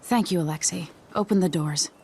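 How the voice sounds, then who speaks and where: A young empress in a video game drama, played by a woman. Her voice sits fairly low, with measured regal delivery and an introspective, doubtful temper. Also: warm tone, a little hiss or hum, lightly acted. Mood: weary, candid. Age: young adult. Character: young empress, doubtful, restless, dutiful daughter. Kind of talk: dramatic game acting and narration.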